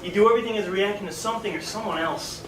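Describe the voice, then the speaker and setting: A man's voice: high voice, racing, fairly bright tone. A young male actor playing a brash, needling teenage friend in a live stage play, challenging and insistent. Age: young adult. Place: small theatre stage, indoors. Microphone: far camera. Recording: noisy, echoey theatre stage from far off.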